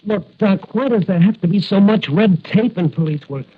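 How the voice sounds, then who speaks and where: A man's voice: sits high, moderate pace, breathy edge. An actor playing a young, confident rookie patrolman hero, earnest and brash, in 1940s radio style. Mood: earnest, restless. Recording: tinny, narrow old radio recording.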